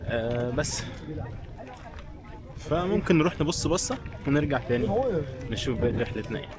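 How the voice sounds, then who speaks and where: A man's voice: mid-range voice, animated, moderate pace. A man with an Egyptian Arabic accent chatting informally and directly to viewers as a travel vlogger on the move outdoors. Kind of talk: casual walking vlog chat to viewers. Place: outdoors in a busy tourist village. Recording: crowd chatter, outdoor street sound. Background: crowd and outdoor sounds.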